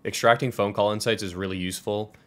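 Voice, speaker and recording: A man in his twenties with a low voice and an American accent walks through a programming tutorial. He explains each step in order, in a clear instructional style. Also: fairly even intonation, quick pace. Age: 20s. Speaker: tutorial presenter. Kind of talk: scripted software tutorial walkthrough.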